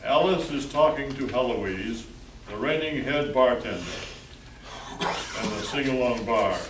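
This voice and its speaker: A man's voice: mid-range voice, a little slow. A man announcing and narrating a live old-time radio play in a formal, showman-like way. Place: live stage show in an echoey room. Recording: echoey distant hall recording with noise.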